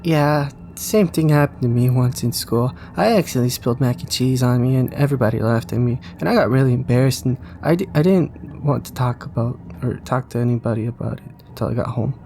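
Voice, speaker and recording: A man's voice: medium pitch, a little fast, gravelly, hardly any pauses, mellow, slight background noise, close to an everyday voice. A man voicing a small, kind-hearted, shy child in an audio drama, speaking hesitantly and stumbling over words. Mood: reassuring, shy. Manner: hesitant, stammering and repeating words. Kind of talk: audio drama character dialogue.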